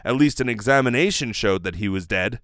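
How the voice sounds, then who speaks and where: A man's voice: medium pitch, animated, fairly quick, fairly bright tone, no room sound. A man in his thirties narrating a radio play dramatically, close on a studio mic. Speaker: host and performer. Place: small bedroom. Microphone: close studio mic with pop filter.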